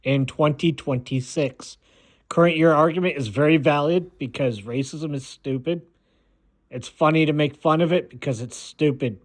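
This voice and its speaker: An American man giving a rambling, opinionated monologue in a blunt, dismissive way, often halting and repeating himself. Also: a little high. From United States. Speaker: video maker.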